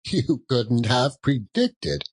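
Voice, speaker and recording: A man reads a classic tale as a theatrical storyteller. His pace is very slow and deliberate. The voice is husky and rises and falls expressively. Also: medium pitch, very animated. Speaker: audiobook narrator.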